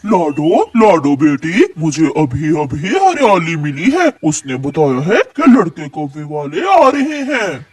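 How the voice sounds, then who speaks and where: An actor playing an earnest, doting father crow in a cartoon, with a measured delivery. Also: on the high side, big pitch swings, darkish tone, husky, no room sound, exaggerated voice.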